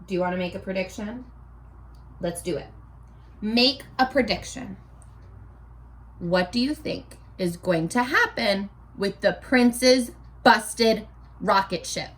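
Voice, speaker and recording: A woman teacher reading a storybook aloud to young children in an expressive sing-song way, stopping to ask prompting questions. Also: mid-range voice, slow, pauses often.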